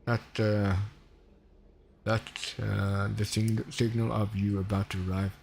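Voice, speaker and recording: A man's voice: on the low side, flat delivery, slow pace, vocal fry, darkish tone, a little hiss or hum. A man with a Swedish accent, a Swedish native speaker, chatting casually and rambling as he streams a space game. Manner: chatty, rambling, self-correcting. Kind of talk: casual gameplay commentary.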